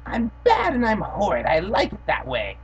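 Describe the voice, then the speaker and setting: A man's voice: huge pitch swings, moderate pace. A man doing a shrieking bratty little girl. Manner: high, loud shrieking. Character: nasty shouting little girl, gleefully mean. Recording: dull lo-fi webcam sound with faint hiss.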